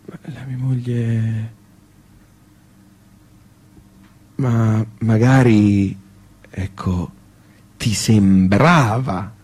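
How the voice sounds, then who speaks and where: An actor playing a flustered, plain-spoken Tuscan man in a tragicomic stage piece, halting and repeating himself. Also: lowish voice, fairly even intonation, a little mellow, husky, heavily acted, rough recording. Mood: hesitant, baffled. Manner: halting, repetitive, blurting.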